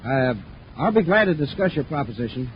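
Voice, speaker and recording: An actor playing a hesitant, deferential space company underling in a 1950s radio adventure serial. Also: male voice, medium pitch, sing-song, normal speed, close to an everyday voice. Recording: muffled, hissy 1950s radio broadcast.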